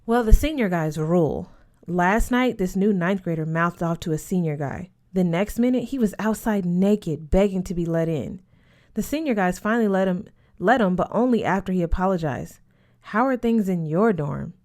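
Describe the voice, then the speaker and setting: A woman's voice: lowish voice, animated, moderate pace, a little mellow. A woman voicing a chatty, easily delighted teenage boy at boarding school, casual and eager. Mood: gossipy.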